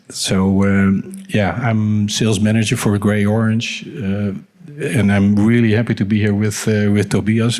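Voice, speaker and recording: Dutch-accented sales manager, low voice, light humor on stage. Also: male voice, a little mellow. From Netherlands. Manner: jokey, upbeat. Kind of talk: stage introduction.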